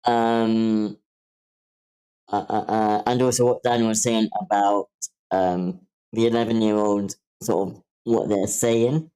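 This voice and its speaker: A man giving frank critique in an online meeting, blunt, deliberate and emphatic in his delivery. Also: fairly low pitch, level tone, warm tone, clear, resonant tone, rough recording. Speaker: table-read participant giving script feedback. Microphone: online meeting call.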